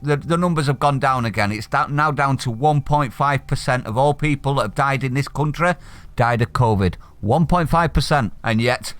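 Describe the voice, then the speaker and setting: A man's voice: fairly high pitch, a bit bright. A man from Manchester with a Mancunian accent, ranting sarcastically and bluntly in a political vlog while a bit under the weather. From Manchester, England. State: slightly unwell. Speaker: political commentary vlogger. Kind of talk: opinionated solo vlog rant.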